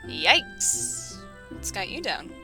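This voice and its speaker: A brash female soldier voiced by a woman with a mid-pitched voice and clear music behind her. She is teasing, blunt and irreverent, bantering casually as in a fantasy audio drama. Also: sing-song, extremely slow. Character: Edith, brash, teasing female spear-wielding sergeant.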